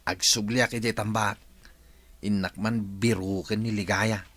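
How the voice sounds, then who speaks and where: A man's voice: fairly low pitch, steady tone, measured pace, fairly bright tone, no room sound. A man acting a cheerful, teasing, lovestruck village man in an Ilocano radio comedy-drama, with an Ilocano accent. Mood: teasing, amused.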